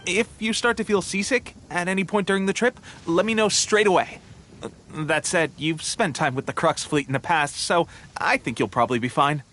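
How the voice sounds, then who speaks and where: Eager, high-voiced young soldier. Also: male voice, normal speed, sharp tone, a little hiss or hum. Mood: cheerful. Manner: upbeat, helpful, earnest. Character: eager, friendly young male resistance soldier. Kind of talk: video game voice acting.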